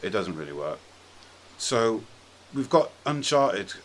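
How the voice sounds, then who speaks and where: A very deep-voiced man hosts a casual discussion podcast. He argues his points emphatically and swears freely. A faint noise sits behind his voice. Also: very animated, slow pace. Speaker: podcast host.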